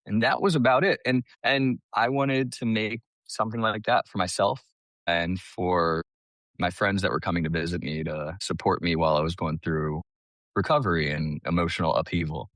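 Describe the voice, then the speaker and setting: A man from the US East Coast tells personal stories as a podcast guest. His lowish voice is chatty and colloquial, peppered with "like", and recorded close and dry. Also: fairly even intonation, moderate pace, a bit bright, no echo. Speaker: podcast guest, small business owner. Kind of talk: casual personal storytelling.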